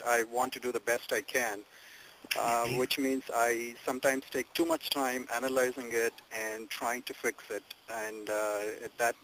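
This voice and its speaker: A man answering a coach on a group call, hesitant and searching for words, often repeating himself. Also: medium pitch. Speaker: coaching client, a working parent. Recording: noisy phone conference line with clicks.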